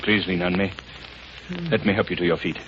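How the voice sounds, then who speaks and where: An actor playing a steadfast, compassionate hero, earnest and reassuring, in 1930s radio drama style. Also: male voice, deep voice, steady tone, brisk, a bit bright. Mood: concerned. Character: steadfast, compassionate male hero comforting a troubled man. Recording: very noisy dull 1930s radio recording.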